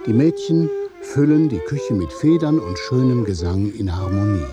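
A man narrating a scripted literary radio play in a deliberate, measured storytelling manner. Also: mid-range voice, expressive, over music.

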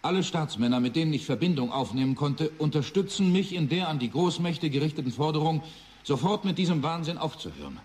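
A man's voice: fairly high pitch, lively intonation, fairly quick, darkish tone, slight background noise, lightly acted. Casting: man playing solemn prime minister pleading for peace, raised male register, emphatic formal oratory. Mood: urgent, solemn. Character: urgent New Zealand prime minister appealing for peace. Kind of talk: formal political address in radio drama.

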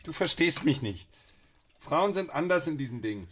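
A man's voice: a little high, animated, normal speed, a bit bright, slight background noise. A man acting in a radio play as an evasive, defensive husband, speaking in a terse, guarded manner. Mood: terse, irritated.

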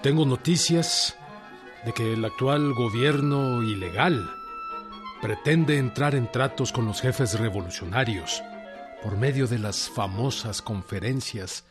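Radio drama role: male actor as rural Mexican rebel general, firm, folksy, unhurried, music behind. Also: mid-range voice, lightly acted. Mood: formal, dictating. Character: male rural revolutionary general, wary, defiant and plainspoken.

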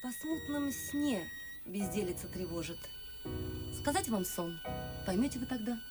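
An actress playing a young well-born daughter in a classic verse radio drama, dreamy and artful, telling things expressively with dramatic pauses. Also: female voice, mid-range voice, expressive, over music, lightly acted. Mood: defensive, feigned innocence.

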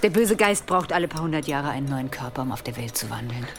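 An actress playing an ancient, knowing seer woman in an audio drama, speaking in slow, portentous archaic phrases. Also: a bass voice, expressive. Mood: grave, confiding. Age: older. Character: ancient female seer, ominous, knowing, mocking old crow.

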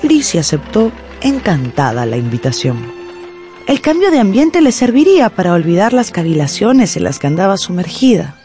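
Mellow, expressive woman storyteller. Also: lowish voice, moderate pace, soft background music. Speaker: narrator. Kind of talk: dramatized literary storytelling for radio.

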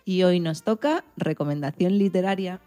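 A woman's voice: fairly low pitch, normal speed, mellow, soft background music. A woman hosting a radio program, reading from a script.

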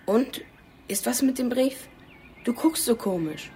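Cheeky, higher-voiced teenage girl. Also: animated, a little slow, dark tone, a little hiss or hum. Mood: probing. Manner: casual, blunt, teenage nagging. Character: cheeky, hungry thirteen-year-old daughter. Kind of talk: German radio crime drama acting.

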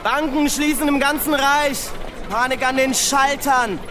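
A shrill newspaper boy in a radio play. His very high voice cries headlines in slow, drawn-out calls over clear street noise. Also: fairly even intonation. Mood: excited, urgent. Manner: loud, drawn-out calling. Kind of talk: street crier in radio drama.

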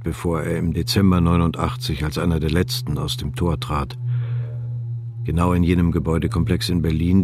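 A man narrating a literary radio drama in a calm, measured, subdued reading. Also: extremely low pitch.

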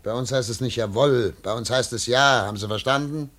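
An actor playing a stern, impatient investigator in a German radio drama, questioning in a commanding, clipped way. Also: male voice, mid-range voice, brisk, a bit bright, natural voice. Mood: stern, pressing. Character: stern, impatient male investigator interrogating a suspect.

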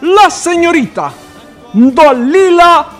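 Grandiloquent festival MC acted by a man, radio drama, high soaring voice, music and crowd behind. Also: very animated, slow, fairly bright tone, heavily acted. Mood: ceremonious. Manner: grandiloquent, declaiming. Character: pompous master of ceremonies at 1950s festival.

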